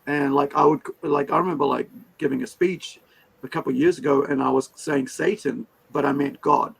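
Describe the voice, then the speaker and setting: A man's voice: fairly high pitch, expressive, a little fast, gravelly, slightly rough, poor audio. A man whose first language is Hindi, hosting a casual interview, talking in a rambling way full of fillers and restarts. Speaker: podcast host.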